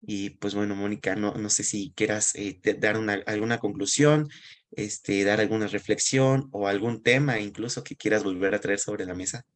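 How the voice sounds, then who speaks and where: A man interviewing a guest in a conversational way, phrasing his points haltingly. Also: lowish voice, fairly even intonation.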